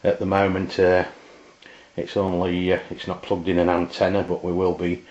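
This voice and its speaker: A man with an English accent giving an informal, unscripted hands-on product demonstration, halting and often pausing mid-sentence. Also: deep voice, completely flat delivery, a little mellow. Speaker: product reviewer. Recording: roomy, dull lo-fi camera sound.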